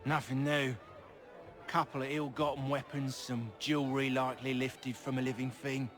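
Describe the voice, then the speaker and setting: An actor playing a brash, scrappy young rogue and fixer in a colonial-era video game, slangy and cheeky. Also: male voice, mid-range voice, little pitch movement, moderate pace, soft background music, a faint crowd, lightly acted. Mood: earnest, obliging. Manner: slangy, cheeky, rough-spoken. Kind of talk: video game cutscene acting.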